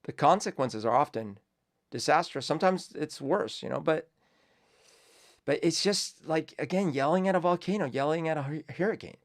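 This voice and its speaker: A man's voice: on the high side, normal speed. Colombia-born man musing in a casual commentary. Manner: reflective, rambling, many fillers and restarts. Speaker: host.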